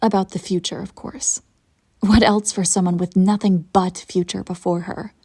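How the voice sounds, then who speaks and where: A woman playing a ruthless, self-satisfied immortal evil empress in a scripted roleplay monologue, telling her tale in a haughty, intimate, theatrical way with a soft, husky, breathy voice. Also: medium pitch, fairly even intonation. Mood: measured, musing. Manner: deliberate dramatic storytelling, haughty and intimate.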